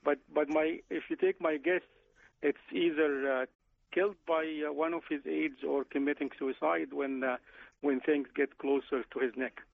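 Radio guest from Egypt on a phone line, gravelly, slightly high voice, lots of uh's. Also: male voice, steady tone. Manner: halting, many "uh" fillers and restarts. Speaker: guest economics professor.

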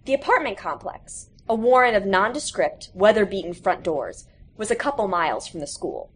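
A woman narrates a short story from a script. Her delivery is expressive and animated, with pitch that moves widely through the sentences. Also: normal speed, breathy edge. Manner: animated, acts out quoted characters.

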